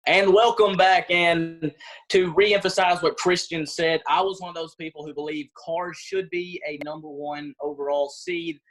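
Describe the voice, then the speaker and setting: A man's voice: on the high side, fairly even intonation, moderate pace, a bit bright, low-quality recording. A man giving sports-style commentary as an analyst on a mock selection show.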